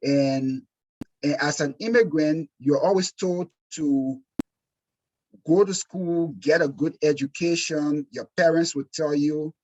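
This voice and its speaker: A man's voice: a little high, takes it slowly, darkish tone, highs cut off. A man with a Liberian accent presenting a webinar course as instructor, speaking earnestly and emphatically and repeating phrases for stress. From Liberia, West Africa. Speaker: insurance exam course instructor.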